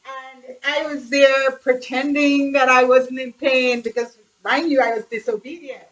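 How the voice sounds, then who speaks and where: A woman's voice: high voice, steady tone, measured pace, a bit bright, rough recording. A middle-aged woman from Guyana with a Guyanese accent, chatting casually about her childhood and laughing now and then as she tells the stories. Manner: chatty, laughs while reminiscing, repeats phrases. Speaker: host. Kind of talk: casual storytelling monologue.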